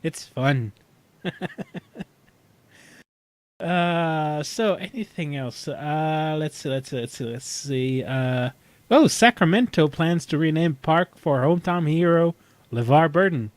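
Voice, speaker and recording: A man who is a non-native English speaker hosting a casual chat, haltingly and often repeating words. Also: on the high side, lively intonation, no room sound. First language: not English. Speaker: podcast host.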